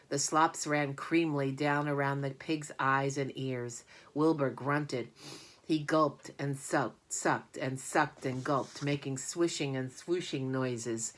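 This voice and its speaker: A woman narrating a children's book in an expressive read-aloud manner. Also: sits low. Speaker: storybook narrator.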